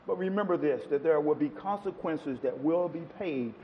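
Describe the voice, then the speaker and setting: A man's voice: fairly high pitch, normal speed, in a large hall, muffled recording, slight background noise. A man preaching a sermon to a congregation, quoting scripture with rising and falling emphasis. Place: church.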